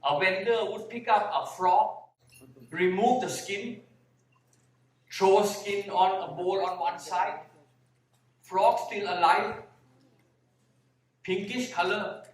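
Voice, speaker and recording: A man with a Thai accent giving a slow, deliberate talk on a conference panel, sometimes repeating his words. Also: sits high. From Thailand (Bangkok). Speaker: panel speaker, vegan activist. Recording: echoey hall, mic heard from far.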